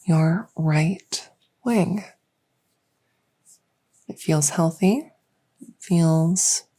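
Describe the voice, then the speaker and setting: A woman playing a gentle fae doctor in a soft-spoken ASMR medical roleplay, reassuring and unhurried, sometimes slipping toward a whisper. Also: sits low, heavily acted. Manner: unhurried, reassuring, hesitant pauses. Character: gentle female fae doctor with buggy wings and scaly tail. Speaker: audio roleplay performer.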